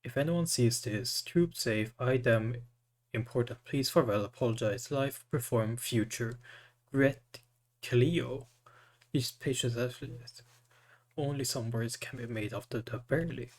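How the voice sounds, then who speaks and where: Slow-paced male game streamer. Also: medium pitch. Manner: halting, stumbles when reading aloud. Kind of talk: casual live game commentary and reading aloud.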